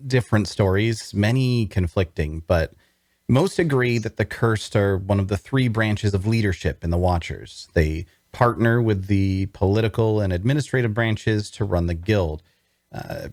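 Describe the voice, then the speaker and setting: A man's voice: on the low side, darkish tone, no echo, studio quality, natural voice. A man playing a wounded, bookish prisoner in an audio drama table read, wary and inquisitive, with a hesitant, questioning delivery.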